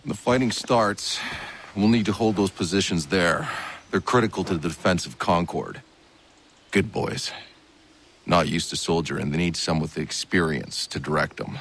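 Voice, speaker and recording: A man's voice: deep voice, normal speed, vocal fry, a bit bright, breathy edge, heavily acted. A man playing a blunt, sardonic, battle-weary militia commander in a video game cutscene. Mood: gruff, dismissive.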